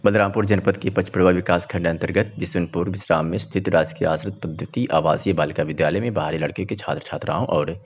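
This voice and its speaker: A man's voice: low-pitched, steady tone, fast talker, hardly any pauses, slightly rough. A man reads scripted regional news as a reporter. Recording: dull, muffled voice-over.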